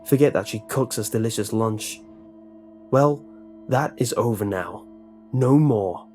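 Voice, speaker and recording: A man's voice: mid-range voice, expressive, normal speed, dark tone, faint music behind, close to an everyday voice. A man voicing a bitter, menacing middle-aged lunch lady with a heavy accent, who barks short orders in broken speech. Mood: resentful. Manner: resentful, threatening, barking short orders.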